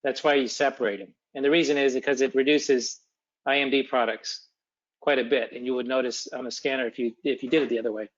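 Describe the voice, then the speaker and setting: A man talks through technical details as a webinar presenter. He is halting, as if thinking aloud, with an unscripted delivery. The sound is dull and lo-fi, like an online call. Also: medium pitch, steady tone. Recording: dull, lo-fi webcast audio.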